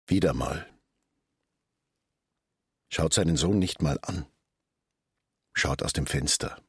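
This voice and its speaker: Radio drama son: Bavarian, exasperated with his father, deep and raspy. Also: takes it slowly, no echo, clean studio sound, exaggerated voice. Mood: dry. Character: exasperated grown-up son, a city hairdresser. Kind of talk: radio drama dialogue and narration.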